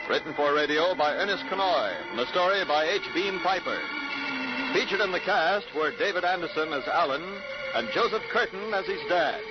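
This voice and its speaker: A man's voice: high voice, normal speed. A man with an American accent announcing in a dramatic, formal 1950s radio style. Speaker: radio series host and announcer. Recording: dull vintage radio broadcast. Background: loud music.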